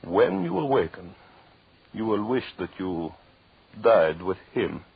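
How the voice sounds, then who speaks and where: An actor playing a vengeful, coldly sinister husband with formal, mock-polite speech in an old-time radio drama. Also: male voice, fairly low pitch, very animated, slow, creaky, very noisy, full character voice. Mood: gloating, sinister. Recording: muffled, narrowband old radio broadcast.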